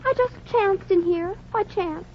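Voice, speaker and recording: A woman's voice: extremely high pitch, measured pace. An actress playing an aloof, affected teenage girl in a 1940s radio comedy. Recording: dull, noisy 1940s radio recording.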